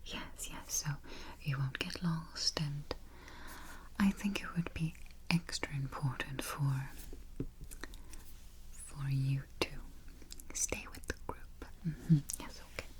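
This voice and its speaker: Low, slow whispering woman. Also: lively intonation. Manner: soft whisper with murmured mm-hmms. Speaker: ASMR artist playing a spooky travel agent. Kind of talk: whispered ASMR roleplay. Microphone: close sensitive mic. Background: keyboard typing and finger taps.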